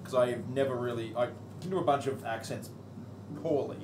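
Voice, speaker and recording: Brief: steady, explanatory player, roomy sound, noise behind. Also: male voice, medium pitch. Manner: measured, explanatory. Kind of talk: casual table chat.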